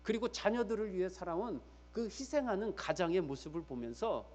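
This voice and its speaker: A man's voice: high-pitched, expressive, fairly quick, darkish tone. A man preaching a church sermon as pastor, emphatically repeating key phrases and putting rhetorical questions straight to his listeners.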